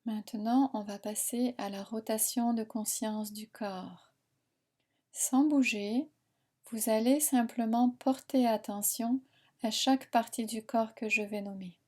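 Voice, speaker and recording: A woman guiding a meditation in a soothing, unhurried, instructing manner with long pauses. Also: fairly high pitch, darkish tone. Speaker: relaxation and yoga nidra guide. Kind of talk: guided meditation narration.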